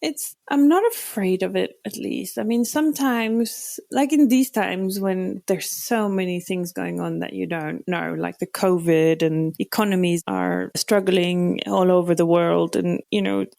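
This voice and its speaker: A woman from Norway, a non-native English speaker, talking reflectively as a podcast guest, often pausing and restarting her sentences. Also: on the low side, fairly even intonation, creaky, darkish tone, no echo, studio quality. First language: Norwegian. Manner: thoughtful, hesitant, restarts sentences. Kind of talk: reflective interview talk.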